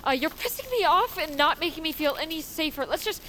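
High-voiced, guarded teenage girl. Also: animated, a little fast, very noisy. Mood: panicked, irritated. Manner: terse, guarded. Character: frightened, defensive teenage girl. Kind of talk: audio drama acting. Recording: music behind the voice.

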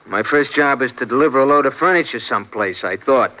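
A man's voice: mid-range voice, animated, fast talker, close to an everyday voice. A man acting an edgy, cornered young hoodlum with curt street slang in a 1950s radio crime drama. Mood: anxious, confessing. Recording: dull vintage radio broadcast recording.

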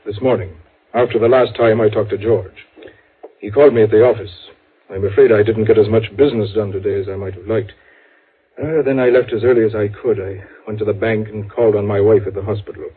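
Husky, anxious 1950s radio businessman. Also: fairly low pitch, steady tone, fairly quick. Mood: formal, troubled. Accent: American. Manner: grave, formal, urgent. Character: anxious, earnest businessman, one of identical twin brothers. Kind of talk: 1950s radio drama acting. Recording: very muffled, fuzzy 1950s radio recording.

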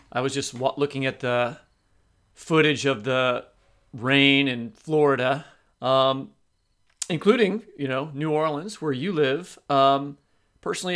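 A man's voice: fairly high pitch, a bit bright. A man co-hosting a podcast, asking long, rambling questions in a casual way, often stopping and repeating words. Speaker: podcast co-host.

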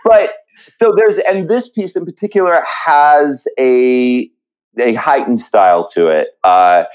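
A man reflecting in an interview as a theatre writer, speaking haltingly and pausing often mid-thought. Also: medium pitch, a little mellow, poor audio. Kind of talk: reflective panel interview talk.

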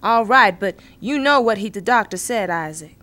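An actress playing a worried, protective young wife in a radio drama. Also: female voice, medium pitch, lively intonation, moderate pace, pushed and bright. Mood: anxious. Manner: anxious, protective, pleading.

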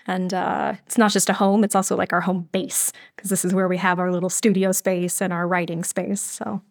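A woman's voice: lowish voice, flat delivery, normal speed, gravelly, dry recording, studio quality. A woman talking casually as a podcast guest about her creative work. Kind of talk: casual interview chat.

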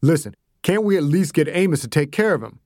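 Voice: medium pitch, very animated, fairly quick, a little mellow, no room sound, clean studio sound. A narrator voicing a protective, stubborn man who talks bluntly and steadily. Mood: wary.

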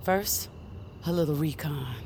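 An actress playing a steady, protective witch mentor in an audio drama, earnest and deliberate in manner. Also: low voice. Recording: noticeable ambient noise behind voice.

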